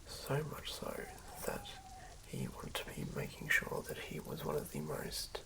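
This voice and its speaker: A person whispering softly in an ASMR style, narrating a tabletop character build in a breathy, creaky hush. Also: a bass voice, wildly swooping pitch, unhurried, poor audio. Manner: hushed whispering. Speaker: ASMR creator.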